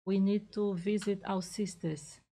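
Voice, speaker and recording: Slow, mellow-voiced nun from Brazil. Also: female voice, medium pitch, fairly even intonation. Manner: careful, halting non-native English. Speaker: visiting nun, guest. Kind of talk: casual interview answers.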